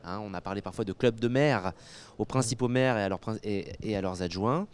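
Low, clear-voiced Frenchman explaining carefully. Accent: French from France. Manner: hesitant, measured, with frequent pauses and repeated words. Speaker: guest expert, academic geographer. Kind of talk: interview answers, analytical explanation. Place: indoor interview set at a convention. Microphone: handheld microphone.

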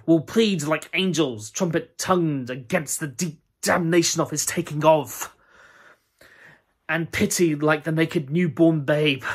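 A young man playing a guilt-torn Scottish nobleman, speaking Shakespearean verse in a measured, brooding way with a husky voice. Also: fairly high pitch, fairly bright tone. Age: young adult. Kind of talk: Shakespeare audition monologue.

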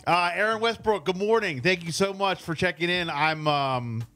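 Man with a higher-pitched, chatty radio voice. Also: moderate pace, bright, forceful tone, faint music behind. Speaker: radio host. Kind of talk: casual morning radio interview. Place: small radio studio. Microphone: studio mic on boom arm, headset.

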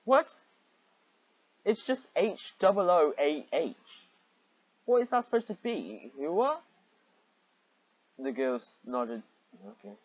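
A man's voice: fairly high pitch, big pitch swings, takes it slowly, pauses often, no echo, muffled recording. A man reading a story aloud in a mocking way, exasperated and often breaking off into rambling asides. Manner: exasperated, easily sidetracked, breaking off to comment. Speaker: reader and commentator of fan fiction.

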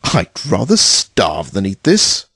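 A man's voice: deep voice, huge pitch swings, takes it slowly, gravelly, fairly bright tone, breathy edge, full character voice. A man playing a blunt, dismissive, hard-nosed investor in an audio drama, curt and sardonic in manner. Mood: scornful.

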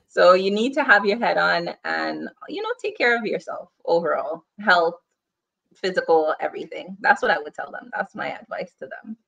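A woman's voice: on the low side, lively intonation, moderate pace, creaky, sharp tone. A young woman with a Guyanese accent, chatting as a podcast guest over a video call, laughing easily and rambling with fillers. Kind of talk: casual interview chat. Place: home room, indoors.

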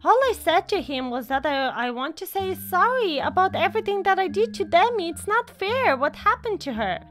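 A woman's voice: extremely high pitch, animated, normal speed, a bit bright, faint music behind. A woman playing a scheming, guilt-tripping mother in an amateur role-play story, with an emphatic way of talking. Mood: falsely sweet.

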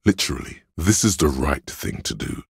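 A cool, analytical inner voice of logic from a video game. It is profoundly low and heavily husky, with crackling fry at the edges. The delivery is measured and matter-of-fact, reasoning things through deliberately. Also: male voice, big pitch swings, no room sound, clean studio sound, exaggerated voice. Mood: analytical, composed. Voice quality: gravelly, husky bass. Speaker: voice actor. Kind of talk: scripted game narration as an inner voice.

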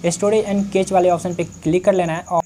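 A man in his twenties with an Indian accent hosts a step-by-step tutorial. His voice is soft-edged and mellow. He talks straight to his viewers through a lapel mic. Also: high-pitched, fairly even intonation, fairly quick, a little hiss or hum. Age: 20s. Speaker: tech tutorial host.

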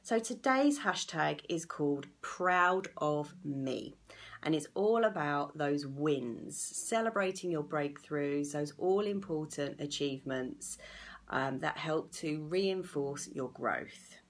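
Croaky low-voiced woman on a live stream. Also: expressive, slow. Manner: chatty and encouraging, greets viewers by name. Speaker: anxiety coach hosting a live video. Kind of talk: casual live-stream talk to viewers. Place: small indoor room at home. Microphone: phone or laptop camera at arm's length.

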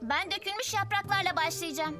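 A woman's voice: very high-pitched, fairly quick. A woman voicing a bossy, sensible little girl adventurer in a children's cartoon, mildly scolding. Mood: encouraging. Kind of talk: children's cartoon dub acting. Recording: music bed under the voice.

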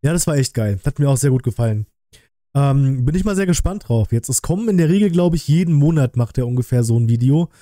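Dark, mellow-voiced male streamer. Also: medium pitch, animated, quick pace, no echo, clean studio sound. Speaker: streamer hosting a reaction stream. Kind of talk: casual livestream chat. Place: small indoor home streaming room. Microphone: close desk microphone on an arm.

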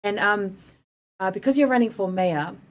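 A woman's voice: mid-range voice, normal speed. A young woman interviewing a guest in a small studio, asking polite, tentative questions at a desk microphone. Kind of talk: conversational interview. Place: small studio with decorated backdrop.

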